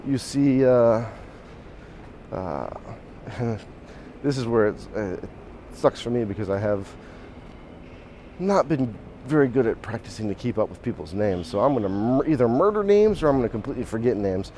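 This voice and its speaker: A hoarse man hosting a podcast, talking casually while walking outdoors, rambling with restarts and small chuckles. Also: mid-range voice, lively intonation, moderate pace, darkish tone. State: hoarse, voice mostly shot. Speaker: podcast host. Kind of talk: casual walk-and-talk monologue. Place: outdoors on a city street. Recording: faint outdoor street noise. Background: street and traffic noise.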